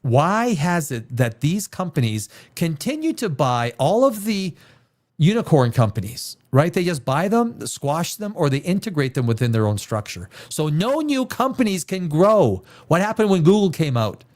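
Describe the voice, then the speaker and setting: Man, blunt profane opinion rant, lively swinging pitch, slightly high voice. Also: moderate pace. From Canada. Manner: blunt and profane, with emphatic repetition. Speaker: livestream host. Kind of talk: opinionated livestream chat.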